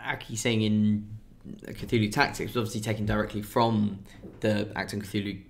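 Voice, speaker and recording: A man's voice: fairly low pitch, fairly even intonation, moderate pace, vocal fry. A man hosting a stream, playing a game and thinking aloud about his moves in easygoing, casual chat. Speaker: stream host.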